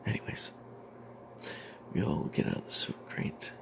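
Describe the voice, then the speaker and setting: A man voicing a greedy, cowardly adventurer cleric, telling a fantasy tale in the first person in a breathy, secretive ASMR whisper with hesitant pauses. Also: background noise, lightly acted. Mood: tense, secretive. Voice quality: breathy whisper with creaky edges. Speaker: narrator of a tabletop fantasy tale.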